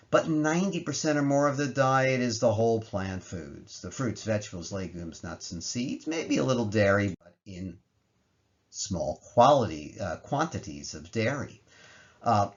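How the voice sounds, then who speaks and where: A man's voice: lowish voice, muffled recording. A man narrating an explanatory slide lecture in a conversational way, posing questions and answering them.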